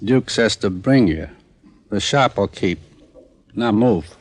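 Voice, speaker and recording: An actor playing a gruff, curt, suspicious crooked repairman in a 1940s radio crime drama. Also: on the low side, animated, slow, loud music behind the voice. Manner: curt, tough-guy talk. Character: gruff, suspicious male watch repairman, small-time crook. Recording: noisy, crackly old radio recording.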